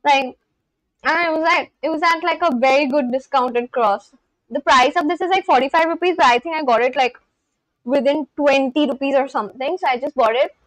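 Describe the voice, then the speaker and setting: A woman's voice: sits high, a little fast, no echo, rough recording. A woman in her twenties with an Indian English accent, chatting casually on a live stream and reading viewer comments aloud. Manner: chatty, reads viewer comments aloud, frequent likes and laughs.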